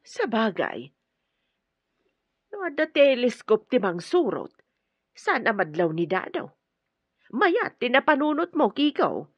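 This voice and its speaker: A very high-voiced madam of the house in an Ilocano radio drama, plaintive and melodramatic. Her accent is Ilocano and the sound is dull and close, with no room around it. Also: huge pitch swings, slow pace, pauses often, breathy edge, no echo, full character voice. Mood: concerned, scheming. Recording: dull, dry lo-fi studio sound.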